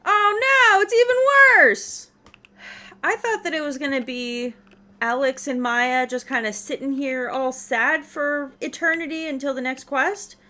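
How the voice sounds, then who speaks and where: A woman giving casual, expressive gameplay commentary, chattily reacting aloud to the game as she plays. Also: sits high, a little slow. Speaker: video game streamer.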